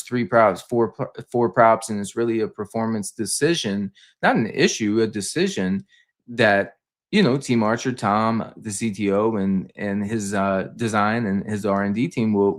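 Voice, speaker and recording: Low-voiced man, halting stock commentator. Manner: halting, repetitive, explanatory. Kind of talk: unscripted financial debrief.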